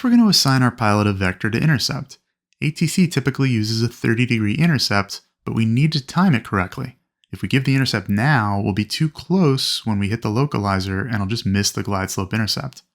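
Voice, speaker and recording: Creaky-voiced man giving methodical instruction. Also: medium pitch. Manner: clear, methodical explaining. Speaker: flight instructor presenting a training video. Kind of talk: instructional narration with acted radio calls.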